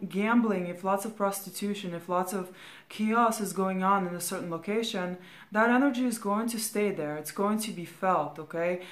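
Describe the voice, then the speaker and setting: A woman in her thirties, from Latvia, giving casual spiritual advice in a rambling monologue, ending nearly every phrase with "right?" or "okay?". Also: mid-range voice. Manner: rambling, with many fillers and restarts. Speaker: spiritual vlogger.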